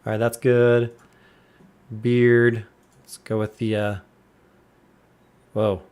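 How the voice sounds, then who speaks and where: A man in his thirties talks through a game on a live stream in a deliberately hushed voice, loose and chatty. His pitch sits in an ordinary middle range, and he reads out viewers' names as he goes. A desk mic in a quiet room at home picks him up up close. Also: smooth. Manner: chatty, relaxed, greets viewers by name. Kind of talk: casual live-stream chat while gaming.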